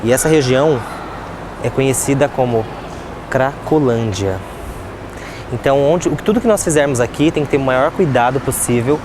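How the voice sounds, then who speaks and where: Man, TV reporter, earnest, explanatory, mid-range voice, loud traffic behind. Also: mid-range voice, animated, moderate pace, pauses often. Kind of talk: on-location street report and interview. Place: outdoors on a city street. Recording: loud street traffic noise. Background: traffic noise.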